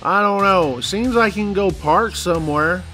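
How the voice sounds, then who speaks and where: A man's voice: sits high, moderate pace, bright, forceful tone, faint music behind. A middle-aged man hosting casual commentary over clips, joking and rambling in a folksy way. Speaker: host.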